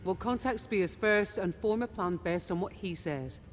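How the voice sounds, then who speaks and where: An actress playing a businesslike, composed mercenary ship officer in a video game. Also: female voice, medium pitch, normal speed, lightly acted. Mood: composed, serious. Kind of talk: cinematic game voice acting.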